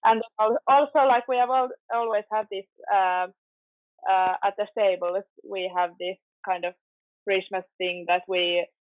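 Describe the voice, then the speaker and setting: A young woman from Finland speaking English with a Finnish accent as a podcast guest, slow and hesitant with many fillers. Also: mid-range voice, steady tone, dry recording. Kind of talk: casual interview chat. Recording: tinny phone-like call audio.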